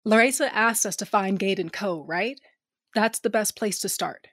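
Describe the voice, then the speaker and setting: A woman's voice: medium pitch, moderate pace, a bit bright, dry recording, studio quality. A woman playing a shrewd, guarded smuggler captain in an audio drama, speaking in a blunt, commanding way. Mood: brooding, determined. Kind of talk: audio drama acting and first-person narration.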